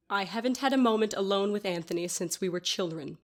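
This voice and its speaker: A woman's voice: medium pitch, moderate pace, close to an everyday voice. A woman playing a haughty, easily offended older lady in an audio drama, formal and scolding in manner. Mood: wistful, prim.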